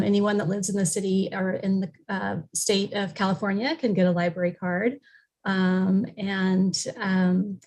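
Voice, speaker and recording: A woman's voice: medium pitch, a little mellow. A woman speaking as a library representative on a video-call panel, informative, polite and welcoming. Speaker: library staff member and panel guest.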